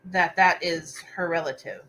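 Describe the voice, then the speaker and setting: A woman's voice: fairly low pitch, unhurried, bright, forceful tone, slight background noise, low-quality recording. A woman hosting a casual livestream book discussion over a video call, informally reading out viewer comments. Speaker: book club host. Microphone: internet video call.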